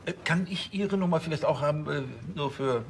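Ein Schauspieler spielt einen fahrigen, wichtigtuerischen Polizeichef, der ständig ins Stocken gerät und beschwichtigt. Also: male voice, fairly high pitch, brisk, a little hiss or hum, lightly acted. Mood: flustered. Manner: hemming, blustering. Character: flustered, self-important male police chief, eager to placate.

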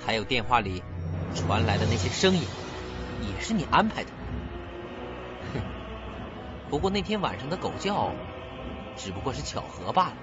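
An actor playing a bitter, sarcastic younger sibling making accusations in a radio drama. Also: male voice, mid-range voice, sing-song, moderate pace, pauses often, lightly acted. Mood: bitter, accusing. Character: bitter younger sibling confronting a scheming older brother. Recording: muffled dull recording with loud music.

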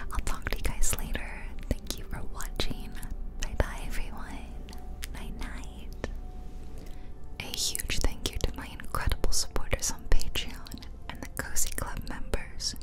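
A woman hosting ASMR in a soft, chatty whisper, speaking close to the microphone as she casually comments on a game. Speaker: ASMR video host. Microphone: close ear-to-ear ASMR microphone.

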